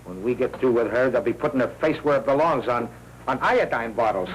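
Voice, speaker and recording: A man's voice: mid-range voice, expressive, a little fast, natural voice. An actor with an American accent playing a streetwise, pushy newsroom man in a 1940s crime film, full of slangy wisecracks. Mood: scheming. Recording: noisy 1940s film soundtrack.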